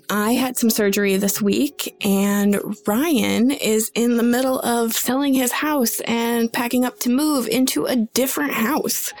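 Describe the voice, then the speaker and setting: Woman, gravelly fry, chatty, many ums, podcast co-host. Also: a little high, a little mellow. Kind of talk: casual podcast intro and chat.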